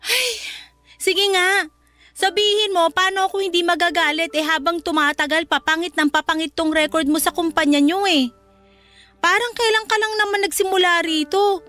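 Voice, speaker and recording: A woman's voice: extremely high pitch, steady tone, normal speed, bright, forceful tone, dry recording, soft background music. A woman playing a nagging, exasperated young wife and mother in a Filipino radio drama, scolding and sarcastic.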